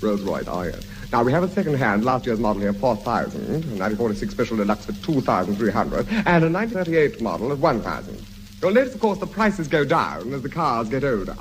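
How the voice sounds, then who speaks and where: A man's voice: mid-range voice, big pitch swings, fast. An English man acting a snooty, fawning luxury car salesman in a 1950s radio comedy, speaking with affected, disdainful refinement. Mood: smooth, salesmanlike. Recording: noisy old archive radio comedy recording.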